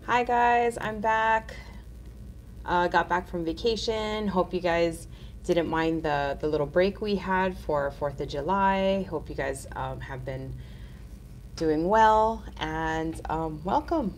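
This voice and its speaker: A woman's voice: lowish voice, takes it slowly, a little hiss or hum. A woman in her thirties hosting a casual live nail art tutorial, chatty and upbeat, sounding nasal from a cold.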